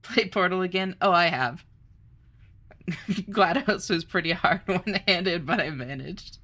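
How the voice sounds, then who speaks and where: A young woman streamer chatting casually, reading chat aloud, bubbly and quick to laugh. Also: lowish voice, pushed and bright.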